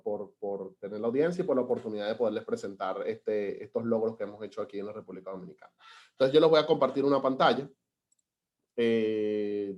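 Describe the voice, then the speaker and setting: A man's voice: on the low side, a little mellow, highs cut off. A man from Venezuela with a Venezuelan Spanish accent, presenting on a video call webinar, talking with many hesitations and restarts. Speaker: government data analyst presenting.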